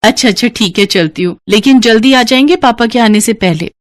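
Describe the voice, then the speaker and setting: A woman voicing a mild, accommodating poor housewife and mother in an animated moral story. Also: fairly high pitch, fairly quick, dry recording, natural voice.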